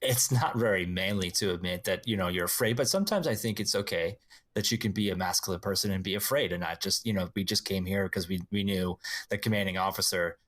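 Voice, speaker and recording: A man's voice: sits low, fast, a bit bright, no echo. A man playing an apologetic, bluffing spy in a tabletop game, speaking in a hesitant, earnest, polite way.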